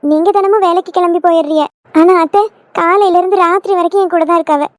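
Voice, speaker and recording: A devoted young daughter-in-law in a family drama, acted by a woman, speaking in an extremely high voice with a southern Tamil Nadu accent. She gushes and pleads with feeling, in a dry recording with little room sound. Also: steady tone, quick pace, dry recording. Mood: devoted, gushing. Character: affectionate young daughter-in-law, gushing and wheedling. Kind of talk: Tamil family skit acting.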